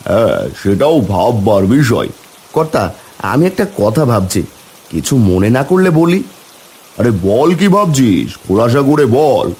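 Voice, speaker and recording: A man voicing village menfolk in a cartoon, speaking in an animated, homely way. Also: medium pitch, a little slow, slightly rough, exaggerated voice. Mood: concerned. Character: village men: concerned headman and eager helpers. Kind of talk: cartoon character dialogue.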